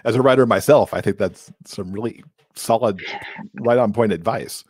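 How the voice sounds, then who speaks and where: A man's voice: lowish voice, moderate pace, darkish tone, breathy edge, dry recording. A middle-aged man hosting a conversational interview, speaking into a close microphone. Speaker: interview host. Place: indoor room, on video call. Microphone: close desk microphone.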